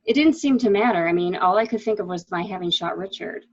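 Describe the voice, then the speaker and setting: A woman plays a secretive wife in a murder mystery, shielding her lover. Her mid-pitched voice is fretful and dramatic. The recording is slightly dull and lo-fi. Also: fast, lightly acted. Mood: guarded.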